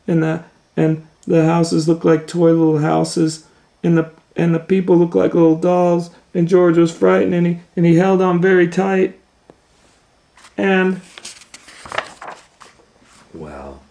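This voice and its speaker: A man reading a story aloud to young children in a dramatic, loosely retold way, moving between hushed asides and excited swells. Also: mid-range voice, creaky. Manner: dramatic, hushing to near whispers and swelling with excitement. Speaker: storytime host and reader.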